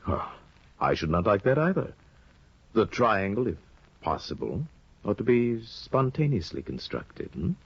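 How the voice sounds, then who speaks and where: An actor playing a sly, scheming older judge in a 1950 radio drama, insinuating and hesitant, on a dull old broadcast recording. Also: male voice, fairly low pitch, very animated, creaky, slightly rough, dry recording, full character voice. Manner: insinuating, hesitant with drawn-out ums. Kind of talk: 1950s radio drama acting.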